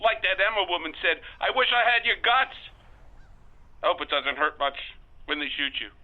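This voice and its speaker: An actor playing a blunt, bitter out-of-work caller to a radio phone-in, heard through a telephone filter. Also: male voice, high voice, lively intonation, normal speed. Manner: blunt, grim, fatalistic. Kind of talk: radio phone-in in an audio drama. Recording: thin, band-limited phone line.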